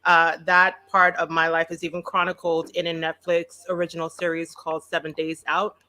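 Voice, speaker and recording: A woman's voice: fairly low pitch, moderate pace. A Trinidadian woman, a food blogger on a panel, chatting playfully and slipping into dialect. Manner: animated, playful, drops into dialect. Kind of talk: casual video call panel chat.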